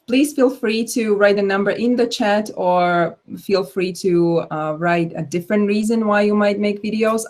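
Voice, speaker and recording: A woman with a fairly low voice presents an online workshop for teachers. She talks in a chatty way, laughs easily and often restarts her sentences. She sounds like she is on a computer mic during a video call. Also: darkish tone. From Slovakia. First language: Slovak. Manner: chatty, laughing, self-correcting. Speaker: English instructor and student engagement coordinator.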